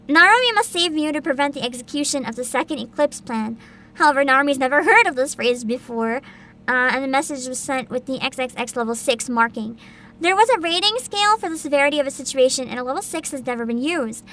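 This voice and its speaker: A woman's voice: high voice, sharp tone. A young woman streaming as a VTuber, chatting casually with her viewers, giggling often and reading game descriptions aloud. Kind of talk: casual livestream chat.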